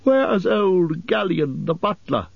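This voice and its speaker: A man voicing merry, impatient elves in an audiobook, exclaiming loudly and teasingly. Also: sits high, sing-song, slow, vocal fry, dry recording, lo-fi sound, voice pushed up, full character voice. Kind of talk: audiobook character voices.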